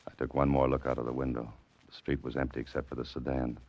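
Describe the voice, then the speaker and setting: An actor playing a hard-boiled private detective, narrating in terse, wry 1940s radio style. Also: male voice, rumbling low, flat delivery, quick pace, a little mellow, muffled recording. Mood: tense.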